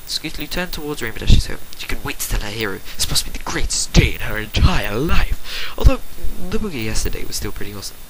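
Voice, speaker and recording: A man reading fan fiction as a dramatic narration, theatrical and swinging between hushed and forceful, on an amateur home recording. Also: mid-range voice, background noise. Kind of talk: dramatic storybook-style narration.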